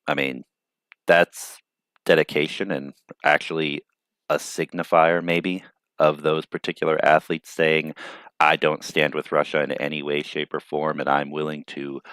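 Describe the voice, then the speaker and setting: Low-voiced man commenting on news. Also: no room sound. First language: not Ukrainian. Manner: thoughtful, hesitant asides with fillers. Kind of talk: news reading with personal commentary.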